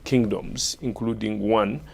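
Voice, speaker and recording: Ugandan guy, middle-aged, deep and a bit gravelly, calm steady explaining. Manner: measured, frequent short affirmations. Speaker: bishop, guest. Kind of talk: conversational interview, recounting history.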